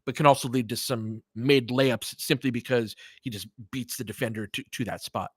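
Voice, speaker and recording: A man's voice: medium pitch, steady tone, normal speed, breathy edge. Male play-by-play streamer, casual basketball commentary, clipped phrases, fan-like asides, dry echo-free sound.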